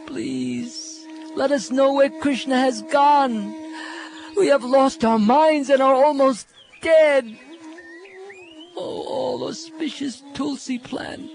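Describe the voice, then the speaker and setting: Casting: distraught lovelorn cowherd women played by a man, high, shift+, imploring, devotional storybook over music. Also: creaky, darkish tone, heavily acted. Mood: desperate pleading. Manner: imploring, exclamatory, drawn-out. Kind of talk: dramatic scripture storytelling. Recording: music under the voice.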